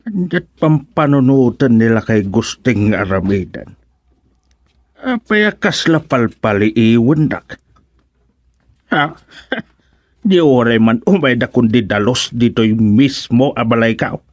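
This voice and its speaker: A grumbling villager who would rather not be bothered. He drags the words out very slowly in an Ilocano accent, with grunts and hesitations, in radio comedy style. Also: medium pitch, expressive, no room sound.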